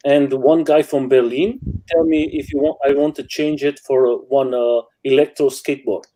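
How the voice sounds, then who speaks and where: A man from Portugal speaking halting English as a guest, with many fillers as he tells stories. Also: medium pitch, fairly even intonation, dark tone, poor audio. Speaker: guest rider. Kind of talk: casual chat in non-native English. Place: home.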